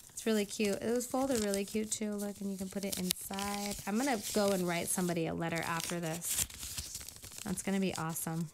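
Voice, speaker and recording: A woman's voice: mid-range voice, noisy background. A woman whose first language is Spanish, chatting casually on her own show in a rambling, filler-filled way. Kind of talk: casual rambling chat, reading old letters aloud.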